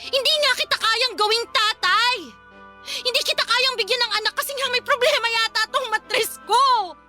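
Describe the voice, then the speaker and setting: A very high, bright voice of a young wife, bitter and despairing. She delivers her radio drama lines with snappish emotion over faint music. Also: normal speed, exaggerated voice. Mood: anguished.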